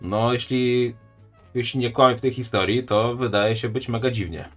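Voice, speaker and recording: A man's voice: mid-range voice, fairly even intonation, normal speed, faint music behind. A man live-streaming his reaction to a video, chatting and joking with his viewers. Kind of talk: casual live-stream commentary.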